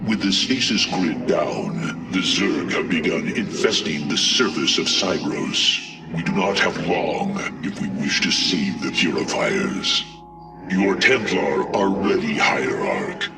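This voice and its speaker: An actor playing a grave, resolute robotic alien warrior in a video game, speaking formally and deliberately. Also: male voice, low voice, lively intonation, vocal fry, far from the mic, loud music behind the voice, full character voice. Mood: urgent, commanding. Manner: formal, deliberate military delivery. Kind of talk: dramatic video game voice acting.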